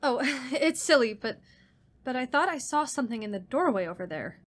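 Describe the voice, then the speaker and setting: Woman acting scared insistent young lady in a radio horror play, highish voice. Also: expressive, moderate pace. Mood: nervous. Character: Elaine, frightened, insistent young woman haunted by shadows.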